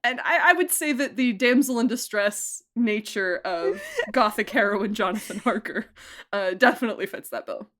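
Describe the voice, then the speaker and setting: A woman producer and director giving a casual interview, animated and laughing often as she gushes about the work. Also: fairly high pitch, moderate pace.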